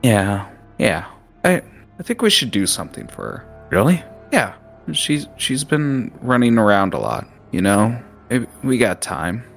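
A man voicing a kind young female student who speaks gently and a little hesitantly, over faint music. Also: on the low side, sing-song, unhurried, voice pushed up. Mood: gentle.

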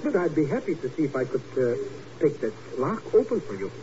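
Dim, excitable radio crook sidekick. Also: male voice, fairly high pitch, brisk. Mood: wheedling, greedy. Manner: bumbling, slangy, stammering bluster. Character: dim-witted, greedy, wheedling small-time crook sidekick. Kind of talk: 1950s radio drama comic-relief acting. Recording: noisy, muffled 1950s radio recording.

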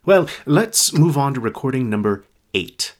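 A man's voice: medium pitch, big pitch swings, normal speed, a little hiss or hum, natural voice. An actor playing a curious man methodically reviewing archived recordings, musing in a matter-of-fact way in a radio drama. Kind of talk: radio drama acting, conversational narration.

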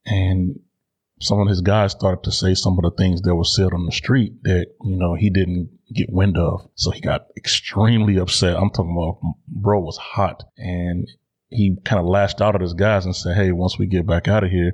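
A man's voice: sits low, level tone, normal speed, darkish tone, slightly rough, muffled recording. A man in his thirties from Chicago with an American accent, hosting a podcast in a conversational solo monologue. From Chicago, United States. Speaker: podcast host.

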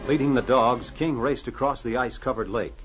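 A man's voice: mid-range voice, brisk. A man with an American accent narrating and announcing in a formal, dramatic 1940s radio style. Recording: muffled old radio recording. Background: music.

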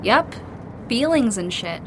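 A woman's voice: a little high, big pitch swings, takes it slowly, noisy background. A young woman playing a supportive but exasperated band member in an audio drama, speaking in a dry, understated way. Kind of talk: naturalistic audio drama acting.

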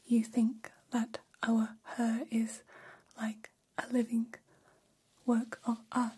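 Gentle, curious female alien played by a woman for ASMR roleplay. Breathy, mellow whisper, formal and halting, very level delivery. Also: a little high, completely flat delivery, exaggerated voice. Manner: slow, formal, halting, literal phrasing. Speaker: ASMR performer.

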